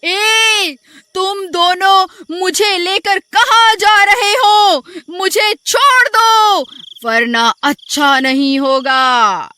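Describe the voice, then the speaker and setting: A woman's voice: very high voice, exaggerated voice. A woman playing a menacing witch ghost who wails and pleads in a dramatised horror story. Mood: angry, threatening. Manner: drawn-out wailing and pleading. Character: female witch ghost, menacing, then wailing and begging. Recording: loud music behind.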